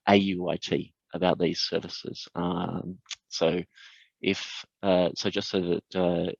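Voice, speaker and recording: A man's voice: deep voice, creaky, no room sound. A man hosting a webinar, opening it with a hesitant introduction full of "um" and "uh" before handing over to the guest. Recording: dull dry video call audio.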